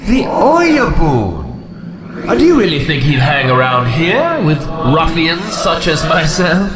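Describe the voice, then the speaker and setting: An actor playing a haughty, sardonic demon lord in a video game, with pompous, florid formal diction and a sneering manner. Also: male voice, fairly high pitch, wildly swooping pitch, slow, crowd noise, exaggerated voice. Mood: coy amusement. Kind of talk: theatrical video game villain acting. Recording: roomy echo, noisy ambient background.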